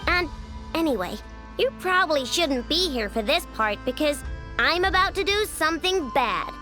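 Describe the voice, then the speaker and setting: A woman's voice: high-pitched, animated, moderate pace, over music. A woman voicing a feisty, loyal little girl inventor in a video game, cute, bold and determined. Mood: gentle reassuring. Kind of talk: animated video game voice acting.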